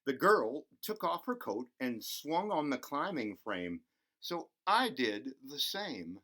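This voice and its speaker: A man's voice: mid-range voice, sing-song, takes it slowly, sharp tone, pitched above the performer's own voice, exaggerated voice. Man acting a wistful, lonely little storybook boy. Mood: shy wonder. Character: lonely, timid young boy narrating a picture book.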